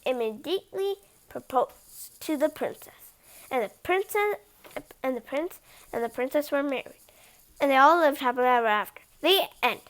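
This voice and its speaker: High-pitched, animated woman reading aloud. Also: moderate pace, low-quality recording. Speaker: host reading a picture book aloud. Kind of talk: animated storybook read-aloud with casual asides.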